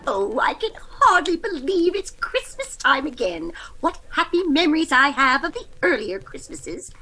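High, fluttery, scatterbrained old landlady. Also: very animated, moderate pace, sharp tone, exaggerated voice, rough recording. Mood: fond reminiscing. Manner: rambling, absent-minded. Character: scatterbrained, kindly elderly landlady, forgetful and rambling. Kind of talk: old-time radio comedy acting.

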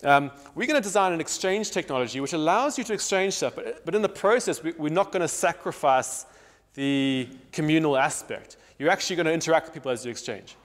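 A man's voice: on the high side, lively intonation, a little fast. A man in his thirties with a South African accent, giving a public lecture, thinking aloud with many restarts and fillers. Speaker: guest speaker. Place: large hall with marble wall. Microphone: lapel. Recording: long hall reverb.

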